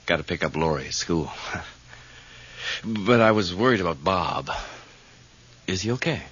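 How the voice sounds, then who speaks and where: An actor playing a concerned, excitable friend of a troubled man, insistent and exclamatory in a vintage radio drama. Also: sits low, moderate pace, husky, exaggerated voice. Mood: worried, soothing. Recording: muffled, noisy vintage radio recording.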